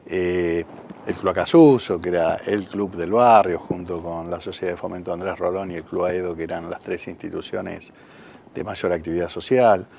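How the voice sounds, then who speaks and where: Casting: male local politician, Buenos Aires accent, very low creaky voice, recounting personal history in an interview. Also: steady tone, normal speed, darkish tone, slight background noise. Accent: Buenos Aires Argentine. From San Isidro, Buenos Aires, Argentina. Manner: frequent rhetorical "¿no?" tags. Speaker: local politician, council president, interview guest. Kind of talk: conversational interview answers, personal recollection.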